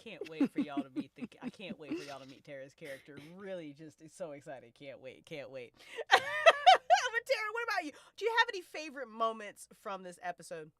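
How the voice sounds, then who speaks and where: Bubbly woman hosting a chat, super lively pitch, close dry sound, repeats stuff for emphasis. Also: a little fast, no room sound. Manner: effusive, repeats words for emphasis; frequent laughter. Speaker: host of a podcast cast discussion. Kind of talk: casual chat.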